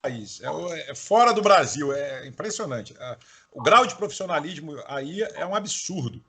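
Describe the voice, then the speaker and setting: A man's voice: a little high, lively intonation, moderate pace, crowd noise. A man from Bahia with a Carioca accent, hosting an interview in a chatty, casual way with frequent restarts. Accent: Carioca Portuguese. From Bahia, Brazil. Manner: chatty, laughs while talking, many restarts. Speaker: interviewer host.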